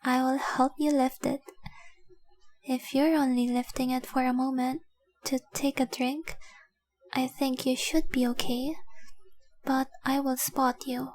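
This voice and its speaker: A high, slow voice of a woman playing a young dragon girl in a romantic audio roleplay. She is devoted and tenderly possessive, speaking with intimate, earnest care and keeping her melody very even. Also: poor audio. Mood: gentle, fond. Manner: earnest, intimate, deliberate, with breathy whispered phrases.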